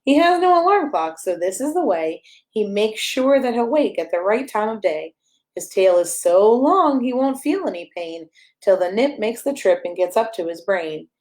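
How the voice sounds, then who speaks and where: Woman, medium pitch, children's storyteller, playful, sing-song, expressive read-aloud, leans on the rhymes. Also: normal speed. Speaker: pastor and storyteller reading aloud.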